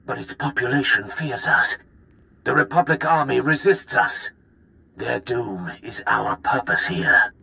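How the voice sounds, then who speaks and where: Gravelly, menacing Sith warlord. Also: male voice, mid-range voice, unhurried, bright, forceful tone, heavily acted. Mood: imperious. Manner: pompous, theatrical, poetic speech. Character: menacing, grandiose Sith lord commanding an invasion. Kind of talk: video game villain acting.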